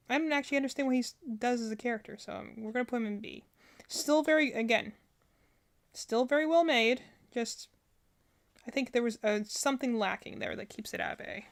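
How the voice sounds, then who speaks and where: Woman streamer doing casual reactions, kinda high voice, rambly, thinks out loud, has opinions. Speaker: streamer reacting to game character trailers.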